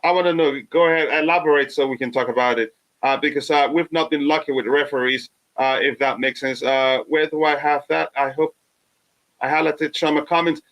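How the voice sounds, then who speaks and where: A man, hosting a live fan stream and reading viewer comments, halting with many fillers, his voice still touched by a cold. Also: on the high side, fairly even intonation, a bit bright, rough recording. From Nigeria. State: recovering from a cold. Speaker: fan channel host.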